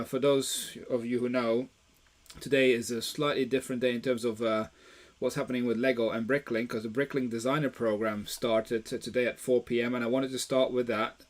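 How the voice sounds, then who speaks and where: A man from Belgium hosting a live stream about toy deals, talking casually with plenty of fillers and restarts. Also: mid-range voice. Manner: chatty, many fillers and restarts.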